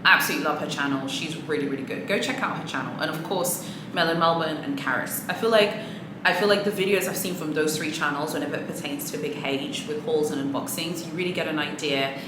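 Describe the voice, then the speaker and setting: Low-voiced woman giving chatty vlog advice. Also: fast, echoey room, noisy background. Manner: earnest, chatty, motivational, with small laughs and restarts. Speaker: luxury handbag vlogger. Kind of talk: casual to-camera advice talk.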